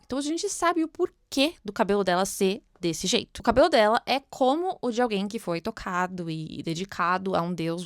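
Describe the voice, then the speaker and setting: A Brazilian woman giving casual, expressive book commentary, explaining things conversationally with rhetorical questions. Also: medium pitch, dry recording, clean studio sound. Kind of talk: casual read-along commentary with fan theories.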